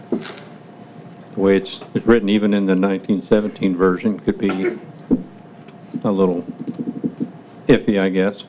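A middle-aged man chairing a city council meeting, speaking in a measured, hesitant way. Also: deep voice, a little mellow. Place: council chamber at a table. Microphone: table microphone. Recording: dull meeting recording, faint noise.

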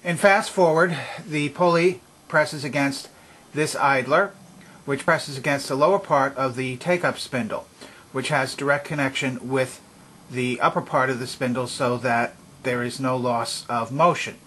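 A man at a workbench giving a calm, methodical hands-on demonstration of an old stereo system, speaking from behind the camera. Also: medium pitch, unhurried, slight background noise. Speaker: vintage electronics restorer.

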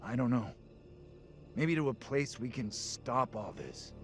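An actor playing an earnest, determined middle-aged male professor in a horror game, speaking gravely and insistently. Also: medium pitch, big pitch swings, measured pace, soft background music. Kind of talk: cinematic horror game dialogue.

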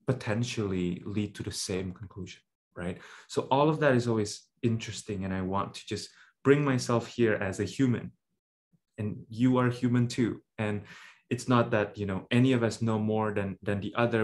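A man in his thirties from Indonesia giving a reflective online talk on a webcam, with many "right?" checks and "um" pauses. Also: mid-range voice. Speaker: symposium speaker. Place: indoor room at home. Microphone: webcam video call.

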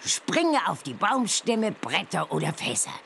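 Elderly witch aunt, gravelly, very animated pitch, kindly, theatrical, children's game, some background noise. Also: heavily acted. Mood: instructive, caring. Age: older. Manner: theatrical, drawn-out, instructive. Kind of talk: children's game character dialogue.

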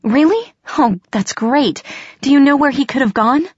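A woman's voice: mid-range voice, lively intonation, moderate pace, no room sound, lo-fi sound, pitched above the performer's own voice. A woman voicing an anxious human girl in a fantasy audiobook, earnest, hesitant and pleading. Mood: urgent, hopeful.

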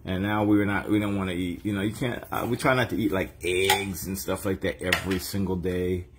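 A man's voice: deep voice, steady tone, a little fast. A man hosting a casual family kitchen vlog, teasing and bantering playfully. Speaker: host filming a family cooking livestream. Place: home kitchen, indoors. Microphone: handheld phone camera. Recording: noisy handheld phone recording in kitchen.

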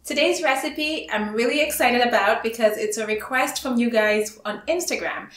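A woman in her thirties from Cameroon, hosting a cooking tutorial in an upbeat, chatty way and talking straight to her viewers from a bright room at home. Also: a little high. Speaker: cooking show host.

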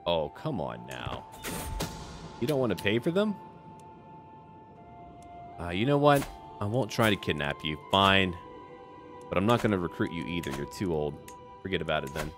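A man's voice: low-pitched, big pitch swings, moderate pace, long pauses, over music. A man hosting a casual roleplay gaming video, commenting in a chatty, offhand way as he addresses the game's characters.

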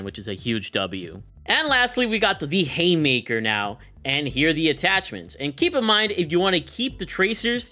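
A man's voice: a little high, bright, forceful tone. A young man hosting a gaming video with casual, hyped, slangy commentary. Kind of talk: casual commentary on a game showcase.

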